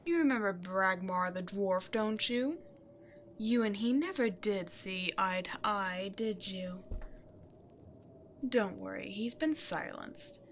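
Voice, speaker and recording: A woman's voice: mid-range voice, slow pace, slight background noise. A woman voicing an imperious, seductive demon queen in a scripted audio roleplay, theatrical and haughty, addressing the listener directly. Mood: smug, cold. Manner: theatrical, breathy asides, haughty. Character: imperious demon queen, seductive, gloating, easily exasperated villain.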